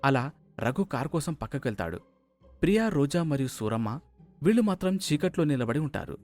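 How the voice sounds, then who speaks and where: A man's voice: on the high side, very animated, a little fast, darkish tone, soft background music. A man reading scripted narration of a family moral story.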